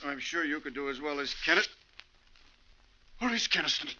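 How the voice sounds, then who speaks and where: An actor playing a determined, suspicious bus passenger with urgent, clipped lines in an old-time radio thriller. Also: male voice, fairly high pitch, lively intonation, moderate pace, pushed and bright, muffled recording, heavily acted. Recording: thin old radio recording.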